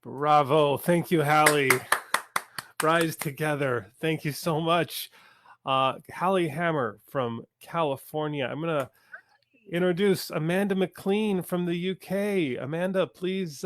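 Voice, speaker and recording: A man hosting an online song swap, thanking one singer and briskly introducing the next. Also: a little high, a bit bright, no echo. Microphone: video call.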